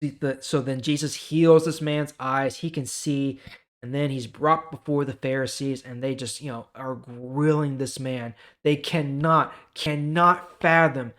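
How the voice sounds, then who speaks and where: A man's voice: medium pitch, fairly even intonation, measured pace, fairly bright tone. A man hosting a Bible reading, explaining scripture informally and checking in with the listener.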